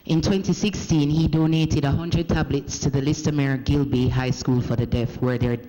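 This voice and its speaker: A fairly high-pitched Jamaican man gives a formal speech with long reverb ringing around the chamber. He keeps a measured pace but breaks off and restarts words as he goes, the way someone does when voicing another person's signing live. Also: fairly even intonation, mellow. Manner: measured, with frequent restarts and self-corrections. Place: parliament chamber. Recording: echoey parliament hall.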